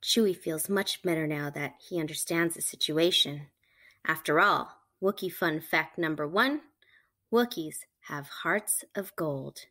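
A woman reading a picture book aloud to children, with animated, emphatic storytelling delivery. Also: sits low, measured pace. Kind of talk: expressive read-aloud narration for children.

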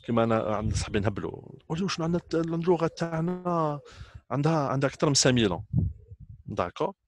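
A man's voice: on the high side, expressive, racing. A man in his thirties with an Algerian accent, talking informally as a trainer, emphatic and repetitive, switching between languages. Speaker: trainer speaking to students. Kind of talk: informal talk to camera, switching between French and Arabic.

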